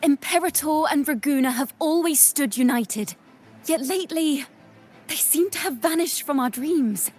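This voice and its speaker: Woman playing a high-voiced theatrical young bard. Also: darkish tone, natural voice. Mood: solemn, stirring. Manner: theatrical, measured, poetic delivery. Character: theatrical young female bard, defiant, playful storyteller. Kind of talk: dramatic storytelling in game voice acting. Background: music.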